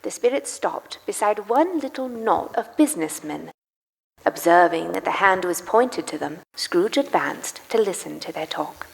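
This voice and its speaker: A woman as the solemn storyteller of a live radio play, narrating in a measured, suspenseful and theatrical way. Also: lowish voice, animated, vocal fry, long reverb tail, poor audio. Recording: music under live stage narration.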